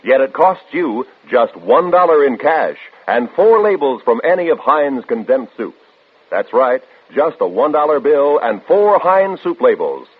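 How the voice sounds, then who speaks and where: A man's voice: mid-range voice, big pitch swings, unhurried, husky. An American man reading a scripted 1950s radio commercial in an emphatic, salesmanlike way. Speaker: commercial announcer. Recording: tinny old radio broadcast.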